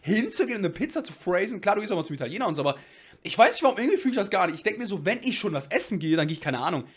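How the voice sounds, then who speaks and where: A young man streaming a reaction video in casual German, rambling in slang and swinging between loud outbursts and mumbles. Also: sits high, very animated, rapid-fire, slightly rough.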